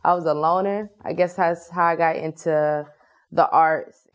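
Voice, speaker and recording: A woman's voice: deep voice, fairly even intonation, measured pace, highs cut off. A woman from Louisiana, a spoken word poet, talking reflectively about her life in a documentary interview. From Louisiana, US South. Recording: music underneath.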